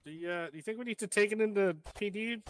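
Male gamer as a questioning cop, high lively-pitched voice, casual improvised chat, faint noise. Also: fast. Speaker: player acting a police officer in an online roleplay game. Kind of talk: improvised in-character game roleplay chat. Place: online game voice chat. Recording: faintly noisy gaming voice chat.

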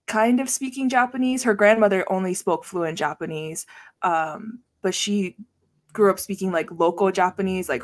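Guest, 30s woman, easygoing chat with fillers, home podcast mic on a video call. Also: on the low side. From Hawaii. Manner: chatty, laughs often, many fillers. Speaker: guest, educator and podcast host. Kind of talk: casual video-call interview. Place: small room at home, indoors. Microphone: podcast mic with headset on video call.

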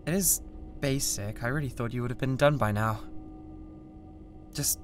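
A British man playing a cold, condescending mad scientist with a god complex in an ASMR roleplay, speaking in a deliberate, theatrical way. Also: medium pitch, slight background noise, natural voice. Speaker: ASMR voice actor. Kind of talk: ASMR roleplay, scripted drama.

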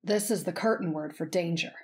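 A woman voicing an earnest, polite, easily flustered young man with a measured, formal manner in a narrated story. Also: lowish voice, lightly acted.

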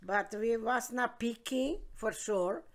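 A woman, being interviewed by her grandkids and telling her story in plain, halting, broken English. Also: fairly high pitch. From Croatia. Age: 70s. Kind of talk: casual interview.

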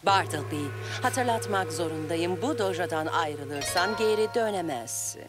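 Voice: medium pitch, expressive, a little slow, over music, natural voice. An actor voicing a stern, solemn cat trainer in a children's cartoon, with a strict, pompous manner. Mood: grave. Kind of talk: animated children's cartoon dub.

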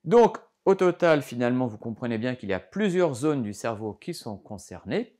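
A man's voice: mid-range voice, sing-song, normal speed, dark tone. A middle-aged man, a doctor, explains a health topic to camera in a studio, gesturing as he talks, with frequent fillers and restarts. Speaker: doctor giving a health lesson. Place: studio before a green backdrop.

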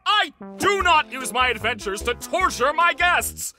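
A theatrical cartoon ringmaster with a sharp, forceful voice. He is a bombastic, overeager showman whose pitch leaps around constantly. Music plays behind him. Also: big pitch swings, a little slow, heavily acted. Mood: manic, upbeat. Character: manic theatrical male ringmaster, living set of dentures. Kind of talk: animated cartoon voice acting.

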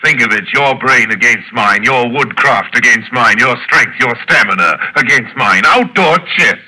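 Radio drama villain: cultured general, theatrical, mock-courteous, very animated, penetrating tone. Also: male voice, medium pitch, normal speed, poor audio. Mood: relishing, taunting. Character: cultured, sinister aristocratic general who hunts men. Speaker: radio actor. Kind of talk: 1940s radio acting. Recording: old radio drama recording.

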